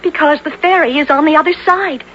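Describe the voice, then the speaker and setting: High, timid runaway lad. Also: female voice, fairly quick, fairly bright tone. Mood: fearful. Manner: timid, hesitant archaic pleading. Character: frightened, pleading slight young runaway lad. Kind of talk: 1970s radio drama acting. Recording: dull, slightly noisy old radio broadcast.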